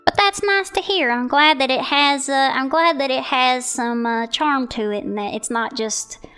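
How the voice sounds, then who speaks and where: A woman streamer talks casually to her viewers in a high, clear voice. She is American and plays up a Southern twang, with a chatty, apologetic, playful way about her. Music plays behind her. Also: normal speed, pushed and bright. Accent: American, playing up a Southern US twang. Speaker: streamer showcasing viewer art. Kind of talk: casual livestream chat with viewers.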